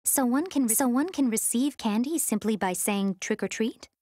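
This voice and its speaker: Lively, highish playful fantasy heroine. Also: female voice, normal speed, no echo, clean studio sound. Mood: curious.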